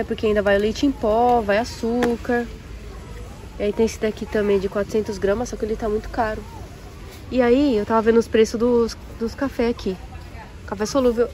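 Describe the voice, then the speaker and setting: A woman's voice: fairly high pitch, a little mellow. Chatty young woman in a noisy supermarket. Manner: chatty, addresses viewers, compares prices aloud. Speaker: vlogger, café owner. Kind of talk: casual shopping vlog. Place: large warehouse supermarket, indoors. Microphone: phone held at arm's length. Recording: noisy store ambience.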